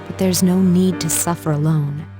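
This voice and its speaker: A very low female voice for a dignified, earnest older schoolgirl acted by a woman in an anime game dub. She speaks formally and with measured composure, over music. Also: steady tone, natural voice. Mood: solemn, consoling.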